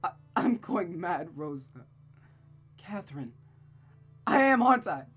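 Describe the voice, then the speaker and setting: Woman acting out a high, distraught, unravelling young woman. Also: very animated, measured pace, soft background music. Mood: hysterical despair. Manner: stammering, sobbing, pleading. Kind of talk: dramatic visual novel reading.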